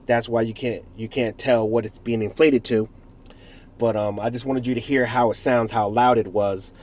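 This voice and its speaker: A man's voice: mid-range voice, steady tone, normal speed, a little mellow. A man in his forties from the United States with a stuffed-up nose from a cold, casually reviewing a product in a rambling, self-correcting way with jokey asides. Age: 40s.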